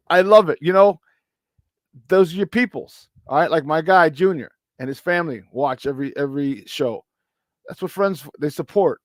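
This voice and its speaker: A man chatting on a morning sports talk show, his voice slightly hoarse and pitched a bit high. He hammers his points with repetition and laughs between thoughts. The sound is dry and close to the mic. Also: no echo. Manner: repetitive, emphatic, laughs often. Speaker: sports talk radio host.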